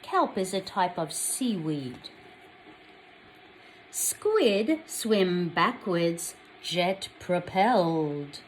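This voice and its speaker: Casting: Australian woman, children's read-aloud storyteller, lowish voice with lively swings, unhurried delivery. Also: slight background noise, poor audio. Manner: addresses young children directly, expressive storytelling. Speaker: teacher reading a picture book aloud to children.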